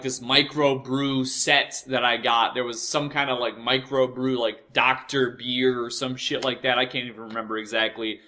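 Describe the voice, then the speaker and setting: A young American man with a bright, forward voice at an average pitch. He tells personal stories loosely and casually, full of swearing, repeats and self-corrections. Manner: profane, repetitive, halting and self-correcting. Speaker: host of a personal storytelling show. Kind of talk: casual rambling personal storytelling.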